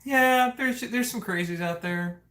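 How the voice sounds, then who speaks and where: A man co-hosts a relaxed home livestream with a chatty, joking manner. He speaks conversationally to his viewers. The sound is echoey, picked up in a room at home. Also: extremely high pitch, a little slow. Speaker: family vlog host. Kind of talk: casual livestream chat. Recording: roomy indoor echo.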